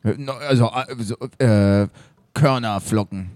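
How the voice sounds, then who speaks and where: A man's voice: fairly low pitch, measured pace, darkish tone, dry recording, studio quality, lightly acted. A man playing a fumbling, eager police chief in an improvised audio drama, hesitating and losing his thread. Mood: eager, confused. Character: fumbling, admiring male police chief, eager but slow to follow.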